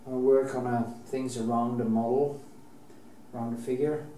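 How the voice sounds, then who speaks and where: Norwegian-accented painter, middle-aged; rambling restarts, casual swearing; distant camera mic, faint room hiss. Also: male voice, mid-range voice, flat delivery, normal speed, mellow, echoey room. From Norway. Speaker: painting teacher. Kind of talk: painting demonstration. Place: painting studio, indoors.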